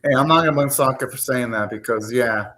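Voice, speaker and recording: A man's voice: mid-range voice, brisk, sharp tone. A young man hosting a live anime reaction, talking casually, ranting and swearing now and then.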